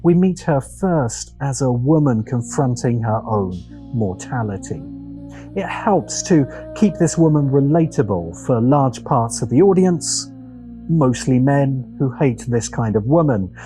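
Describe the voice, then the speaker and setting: Man narrating dramatically, pitch rising and falling. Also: lively intonation, unhurried. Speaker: video essay host. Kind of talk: scripted narration, video essay commentary. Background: background music.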